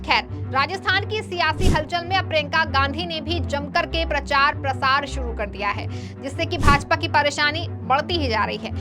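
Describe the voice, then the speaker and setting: High-pitched woman presenting news over music. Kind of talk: scripted news narration. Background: background music.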